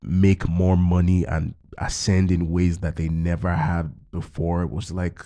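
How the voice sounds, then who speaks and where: A man with a low voice and a gravelly, rough edge to it. He sounds dark in tone and talks in a meandering, reflective way, circling back on his points and filling the gaps with "like" and "you know". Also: level tone, measured pace. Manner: musing, loose, peppered with fillers. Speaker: podcast host. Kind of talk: reflective conversational chat.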